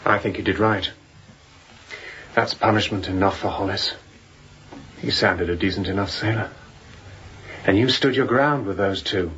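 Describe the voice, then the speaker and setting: Actor as a deep-voiced, quiet confidant. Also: male voice, normal speed, long pauses, highs cut off, noisy background, natural voice. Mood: calm, reassuring. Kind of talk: radio drama acting.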